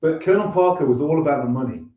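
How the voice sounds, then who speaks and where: A man's voice: on the high side, animated, rapid-fire. A man in his fifties chatting casually as an interview guest, earnest and explanatory. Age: 50s. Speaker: singer-songwriter and interview guest. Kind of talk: casual chat. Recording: roomy, distant, dull lo-fi sound.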